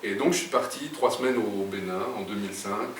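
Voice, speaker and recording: Brief: middle-aged man, low voice, halting storyteller, recorded from afar in a roomy space. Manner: hesitant, many fillers and restarts. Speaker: guest writer. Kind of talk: casual interview storytelling. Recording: echoey room, distant mic, background noise.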